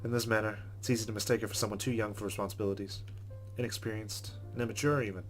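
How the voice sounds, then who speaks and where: Male let's player, lowish voice, measured and subdued reading of game narration, music bed underneath. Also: steady tone. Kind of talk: reading visual novel narration aloud.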